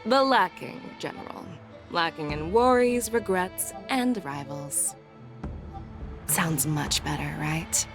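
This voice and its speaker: A woman's voice: fairly low pitch, animated, takes it slowly. An actress voicing a confident, playful woman general in a video game, teasing and self-assured, with music behind.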